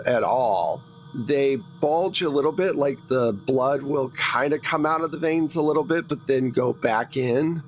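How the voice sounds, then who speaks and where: A man narrating a horror tabletop roleplaying game as game master, in a deliberate, descriptive way. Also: medium pitch. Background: background music.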